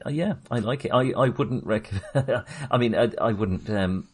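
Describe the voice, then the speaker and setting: A man's voice: sits low, breathy edge. A man co-hosting a film podcast, talking casually in a tentative, self-qualifying, agreeable way.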